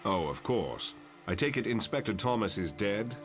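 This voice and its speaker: A deep, measured professor over music. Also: male voice, very animated. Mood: cool, probing. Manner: measured, articulate, wry. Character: calm, fatherly older male telepath professor confronting his son. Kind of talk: dramatic motion comic voice acting.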